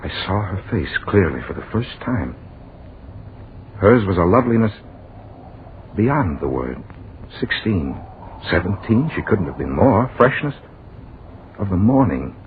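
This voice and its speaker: A man's voice: low voice, very animated. Tinny old radio recording, haunted, bewildered young man. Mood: haunted, awed. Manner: dramatic, halting, broken phrases, repeats words. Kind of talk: 1940s radio drama acting. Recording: phone-like, noisy old 1940s radio recording.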